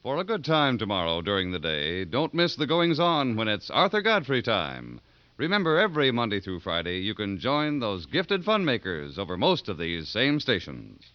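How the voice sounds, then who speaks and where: A man's voice: on the low side, huge pitch swings, normal speed. An American man announcing a 1950s radio thriller in a formal, dramatic, scripted manner. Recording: dull vintage radio recording, music behind.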